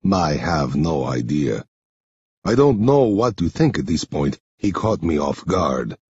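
A man voicing a guarded, serious male guardian with a terse, measured manner in an audiobook. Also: rumbling low, very animated, a little mellow, breathy edge, dry recording, lo-fi sound, pitched below the performer's own voice, exaggerated voice. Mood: grave.

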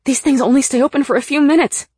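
A woman's voice: mid-range voice, moderate pace, warm tone, slightly rough, no room sound, studio quality, lightly acted. A woman voicing an urgent, bossy young female adventurer in an audiobook, emphatic and insistent.

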